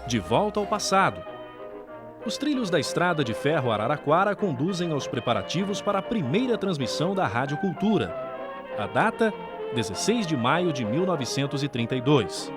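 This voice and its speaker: Expressive Brazilian man narrating over music. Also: on the high side, moderate pace. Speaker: documentary narrator. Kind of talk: scripted narration.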